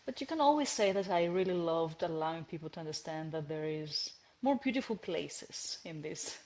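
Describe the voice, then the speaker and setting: A woman in her thirties from Greece, speaking as a podcast guest who tells her story in an animated way, with frequent restarts and light laughs. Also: low-pitched, normal speed. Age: 30s. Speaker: guest, entrepreneur. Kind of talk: conversational podcast interview.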